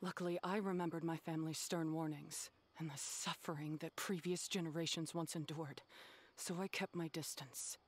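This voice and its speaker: Stern young game rival: lowish female voice, resolute, formal, coolly disdainful. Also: fairly even intonation, moderate pace. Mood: measured, grave. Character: stern, resolute young woman tournament contestant. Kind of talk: video game voice acting.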